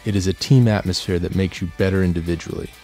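A man reading a scripted promotional voice-over in an earnest, measured way. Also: deep voice, steady tone, a little mellow. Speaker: voice-over narrator. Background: music.

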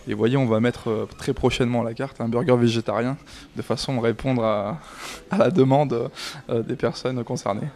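A man's voice: medium pitch, fairly even intonation, moderate pace, a little mellow. A man in his thirties, a restaurant manager, answering questions on camera into a handheld mic in a small restaurant, hesitant with many fillers and restarts. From France. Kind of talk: on-camera interview. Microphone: handheld reporter mic.

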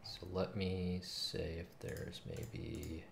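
Guy thinking out loud while coding, slow and mumbly, really low voice, roomy w/ typing noise. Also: level tone, creaky. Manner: muttering in short fragments. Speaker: game developer streaming coding. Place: small room at home. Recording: roomy, noisy, keyboard clicks. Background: keyboard typing and mouse clicks.

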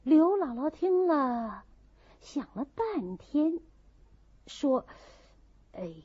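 语速很慢的女性朗读者. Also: female voice, high voice, sing-song, very slow, dark tone, dry recording. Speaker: audiobook narrator. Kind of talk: expressive classic novel reading. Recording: dull, muffled audio.

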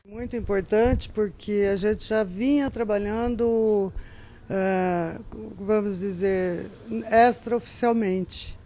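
A woman's voice: mid-range voice, takes it slowly. A middle-aged woman artisan, interviewed for TV news at an indoor craft fair on a handheld mic, talking in a chatty, playful way. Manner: chatty, playful, animated when quoting herself. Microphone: handheld reporter mic.

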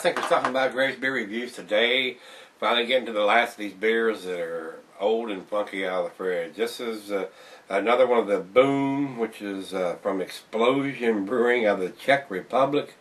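A man's voice: mid-range voice, distant mic. A middle-aged man hosting a casual beer review from a room at home, chatty and folksy, talking to viewers as guys. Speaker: beer reviewer, show host. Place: home room with glass shelves, indoors. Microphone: camera on tripod across the table.

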